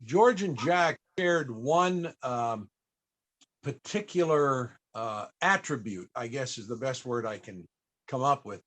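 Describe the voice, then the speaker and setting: A man hosting a panel, telling stories conversationally to an audience, with halting, searching pauses. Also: medium pitch, animated, fairly bright tone. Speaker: panel host and interviewer.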